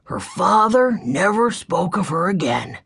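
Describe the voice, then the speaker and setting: A gruff, suspicious old man, put on by a woman reading a storybook. He speaks slowly and firmly, with a gravelly, husky edge to the voice. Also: fairly low pitch, sing-song, a bit bright, heavily acted. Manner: firm, blunt, ominous.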